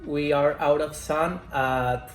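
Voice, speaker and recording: A man in his twenties, a Spanish speaker, answering interview questions in slow, careful English. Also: fairly high pitch, fairly even intonation. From Mexico. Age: 20s. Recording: roomy echo, faint music.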